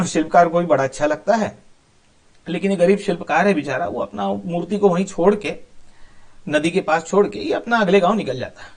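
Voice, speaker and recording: A man's voice: high voice, brisk. A man telling a moral story informally, explaining as he goes with conversational asides and some English words mixed in. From India.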